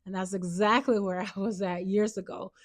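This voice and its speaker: A woman's voice: mid-range voice, fairly even intonation, moderate pace. A woman in her fifties, a holistic health coach, talking to camera in an earnest, chatty way, often repeating herself. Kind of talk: casual talk to camera.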